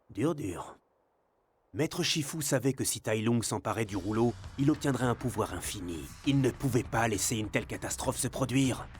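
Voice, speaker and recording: An actor narrating a game's epic tale in a dramatic scripted storytelling style with wry humour. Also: male voice, medium pitch, breathy edge, no echo.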